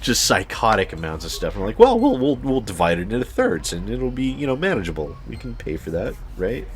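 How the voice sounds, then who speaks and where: A man's voice: deep voice, fairly bright tone. A man telling a casual, rambling personal story as a channel host, swearing freely with exaggerated emphasis.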